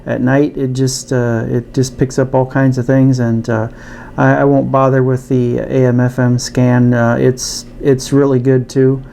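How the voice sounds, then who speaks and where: A man casually demonstrating a product as a reviewer, thinking aloud in a halting way. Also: mid-range voice, level tone, gravelly, warm tone, a little hiss or hum. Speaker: radio reviewer.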